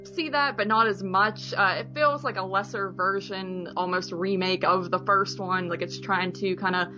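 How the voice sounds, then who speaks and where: A woman co-hosting a podcast, chatting casually about movies in a conversational way with softened opinions. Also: mid-range voice, fairly even intonation, normal speed, muffled recording, over music. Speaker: podcast co-host. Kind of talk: casual movie review chat.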